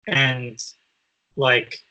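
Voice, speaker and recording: A man with a bright, forward voice at an average pitch. He talks as a podcast co-host, thoughtful and casual, feeling his way hesitantly through each phrase. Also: level tone, very slow, muffled recording. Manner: tentative, hesitant, frequent "like".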